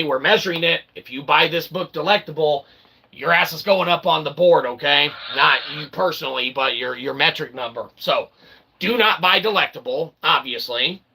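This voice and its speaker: A man hosting his own channel in a rambling solo monologue, with raspy, mock-formal, wordy phrasing mixed with slang and frequent check-in tags. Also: fairly high pitch, sharp tone. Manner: repeatedly tags phrases with "okay" and "all right". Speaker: channel host.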